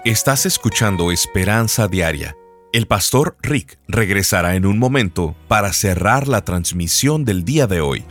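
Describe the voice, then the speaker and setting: A man with a fairly low voice announces a radio program from a script. Soft music plays behind his broadcast read. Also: expressive, measured pace.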